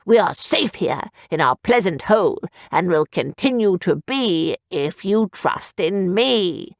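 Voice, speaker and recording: A woman's voice: on the low side, expressive, takes it slowly, gravelly, dry recording, studio quality, heavily acted. A woman voicing a pompous, self-assured male town orator who blusters at the crowd. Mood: soothing.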